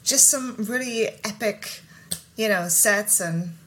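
A woman's voice: medium pitch, takes it slowly, fairly bright tone, low-quality recording. A woman who grew up in Germany, chatting as an interview guest in a friendly way with small laughs. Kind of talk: casual interview chat.

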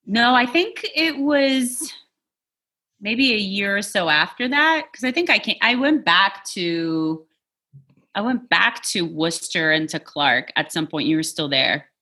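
A woman's voice: mid-range voice, lively intonation, pushed and bright, slightly rough. A woman who immigrated from Poland and grew up in New York, earnestly talking through her identity as a podcast guest. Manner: thoughtful, earnest, measured. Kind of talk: casual interview chat.

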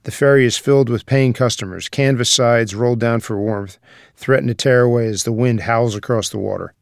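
A man narrates a screenplay's stage directions. His voice is medium-pitched and recorded very dry, with the scripted delivery of someone reading scene descriptions. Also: a little fast, gravelly, no room sound.

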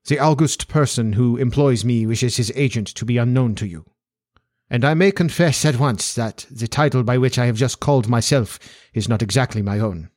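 A man's voice: mid-range voice, moderate pace, a little mellow, dry recording, studio quality, close to an everyday voice. A man voicing a large, haughty and agitated Bohemian nobleman in a storybook, speaking in a pompous, formal way. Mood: grave, secretive.

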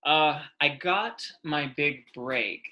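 An American man from New York chatting casually as a podcast guest, telling personal anecdotes in an animated, self-mocking way with plenty of swearing. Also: fairly high pitch, takes it slowly, lo-fi sound. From New York City, United States.

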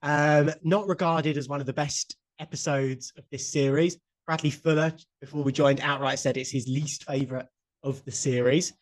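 A man's voice: on the high side, steady tone, normal speed. A man co-hosting a podcast, chatting casually with strong opinions and the odd swear word. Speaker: podcast co-host. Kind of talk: casual chat.

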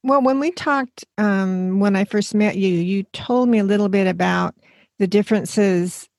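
Measured woman hosting a podcast. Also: mid-range voice, gravelly, a little mellow, no echo, clean studio sound. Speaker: podcast host. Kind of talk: scripted intro and interview questions.

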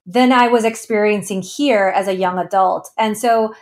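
A woman's voice: mid-range voice, normal speed. Earnest woman from Ecuador, laughing often. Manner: earnest, laughs often while telling her story. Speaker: founder guest. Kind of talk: interview conversation.

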